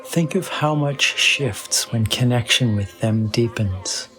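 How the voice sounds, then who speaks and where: A man leading a guided meditation in a soothing, measured way with long pauses, in a slow meditative style. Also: medium pitch, a bit bright. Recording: voice over background music with singing bowl. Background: meditation music.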